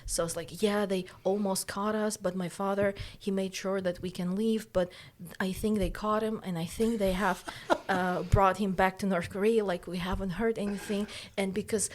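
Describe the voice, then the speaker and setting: A woman's voice: medium pitch, fairly even intonation, moderate pace, few pauses. A woman from Uzbekistan whose native language is Russian, chatting casually as a podcast co-host and telling stories in a playful, teasing way. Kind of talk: casual chat, animated storytelling.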